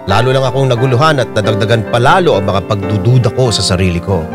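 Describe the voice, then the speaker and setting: A man's voice: fairly low pitch, fast talker, music in the background, lightly acted. A male actor playing a troubled, defensive unfaithful young husband in a radio drama, both narrating and in dialogue. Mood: anguished, confused. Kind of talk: Filipino radio drama acting and first-person narration.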